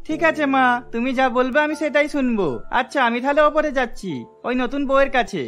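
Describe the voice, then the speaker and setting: A man's voice: very high-pitched, quick pace, fairly bright tone, faint music behind. A man voicing a childish, simple-minded grown son in a cartoon, naive and plain-spoken. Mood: clingy, obedient.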